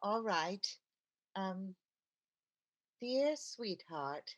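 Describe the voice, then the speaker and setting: An actress playing a wry, scatterbrained housewife with sardonic comic timing in an old-time radio sitcom. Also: female voice, medium pitch, animated, very slow, fairly bright tone. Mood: playful, sentimental.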